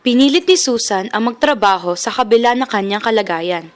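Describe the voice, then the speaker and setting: A woman's voice: on the high side, animated, brisk. A young woman with a Filipino accent reading scripted narration for a radio drama.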